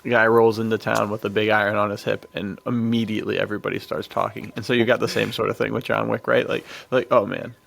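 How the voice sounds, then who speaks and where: A man's voice: on the low side, little pitch movement, fast, creaky, few pauses, fairly bright tone. A man in a casual podcast discussion, chatty and amused, rambling along in slangy, informal phrases. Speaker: podcast co-host.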